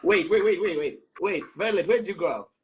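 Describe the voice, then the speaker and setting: A man's voice: high-pitched, a little fast. A man hosting a casual online chat, speaking informally with playful, teasing banter. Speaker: host of an online panel chat.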